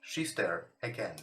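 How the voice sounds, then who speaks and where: A man who is not a native English speaker, reading visual novel text aloud for a let's play, somewhat haltingly. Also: low voice, fairly bright tone. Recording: roomy echo with music behind.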